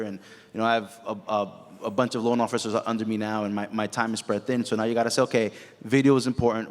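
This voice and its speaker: Animated man on a conference panel. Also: mid-range voice. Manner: animated, peppers talk with "right?". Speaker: branch manager, panelist. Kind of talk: casual panel talk. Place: conference hall. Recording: echoey hall.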